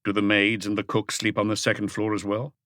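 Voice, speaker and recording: Guy doing a police detective in an audiobook, lowish voice, formal, patient, probing, very dry recording. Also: monotone, a little fast, a bit bright, dry recording, lightly acted. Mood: tactful, probing. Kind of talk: audiobook character dialogue.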